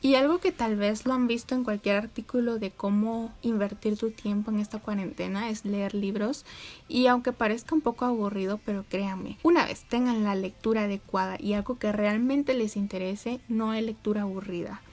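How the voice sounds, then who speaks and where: A woman's voice: medium pitch, fairly even intonation, normal speed, a little mellow. A young woman, chatting casually and upbeat to her viewers at home. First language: Spanish. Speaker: vlogger. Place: home room with neighbors' animals outside.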